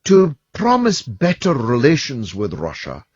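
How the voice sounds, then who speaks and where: A man's voice: mid-range voice, wildly swooping pitch, unhurried, darkish tone, no echo, muffled recording. A middle-aged Scottish man hosting a radio talk show, talking in an emphatic, forthright, rhetorical way. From Scotland. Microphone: studio microphone.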